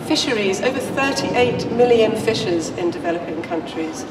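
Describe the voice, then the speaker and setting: A woman researcher with a croaky voice giving a measured conference presentation. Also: medium pitch, lively intonation. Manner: measured, explanatory, with occasional restarts. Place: large conference hall. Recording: echoey hall recorded from far off with noise.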